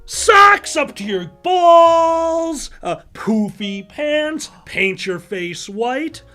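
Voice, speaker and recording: A man's voice: very high voice, very animated, drawn-out words, sharp tone, soft background music. A man hosting a comedy podcast, telling stories in casual banter with emphatic, profane delivery.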